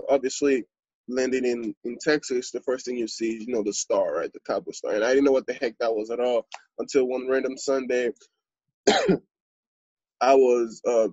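A man in his twenties from Congo, a podcast guest telling his story in a relaxed, earnest way with lots of fillers. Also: medium pitch, slightly rough, no echo, dull audio. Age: 20s. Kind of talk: podcast interview.

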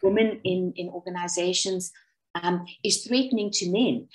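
A woman from South Africa, speaking in a relaxed, conversational way over a video call, with many restarts and ums. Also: lowish voice, moderate pace, poor audio. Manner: self-deprecating, rambling with many restarts and ums. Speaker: webinar presenter. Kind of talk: casual conversational talk.

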